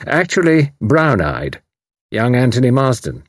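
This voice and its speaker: A man's voice: medium pitch, a little slow, dry recording, studio quality, voice pushed up. A man doing a composed woman spy. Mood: composed, firm. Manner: calm, firm, composed. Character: composed young woman spy posing as nurse.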